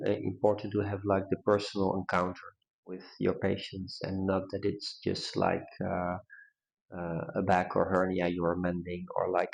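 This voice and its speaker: Gravelly Dutch-accented man, low voice. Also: darkish tone, low-quality recording. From Netherlands. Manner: measured, with frequent pauses and restarts. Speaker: neurologist guest. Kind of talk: conversational interview answers.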